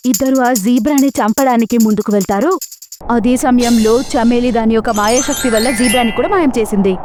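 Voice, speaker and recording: A woman narrating an animated animal story from a script. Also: on the high side, fairly quick, over music.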